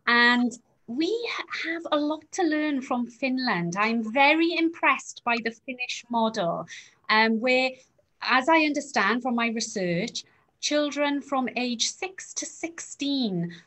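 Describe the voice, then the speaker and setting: A woman's voice: a little high, expressive, slow pace, fairly bright tone. A woman chairing an online rally, introducing the next speaker with frequent hesitations. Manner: hesitant, many fillers. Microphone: video call.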